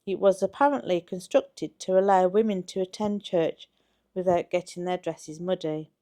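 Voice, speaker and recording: A woman's voice: on the low side, normal speed, mellow. A woman reading a scripted history narration aloud in a factual, storytelling manner. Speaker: channel co-host narrating a history video.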